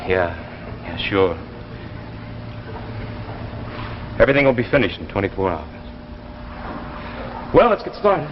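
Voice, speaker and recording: An actor playing an anxious, guilt-ridden husband in 1950s radio drama style, halting and repeating himself. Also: male voice, lowish voice, sing-song, a little hiss or hum. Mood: uneasy resolve. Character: anxious husband, guilt-ridden man sent on a secret mission. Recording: muffled vintage broadcast recording with music.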